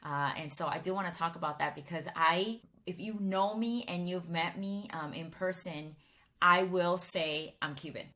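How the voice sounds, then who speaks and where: A woman in her thirties with a low, deep-set voice tells her personal testimony straight to camera. She keeps it easygoing and chatty and often restarts a sentence partway through. She grew up in Miami. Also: moderate pace, sharp tone. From Miami, Florida, United States. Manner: casual and conversational, with frequent restarts.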